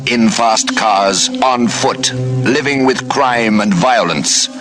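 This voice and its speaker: A man's voice: mid-range voice, slow. Dramatic male announcer over music. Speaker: radio show announcer. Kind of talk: dramatic scripted show introduction. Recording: old radio recording with music.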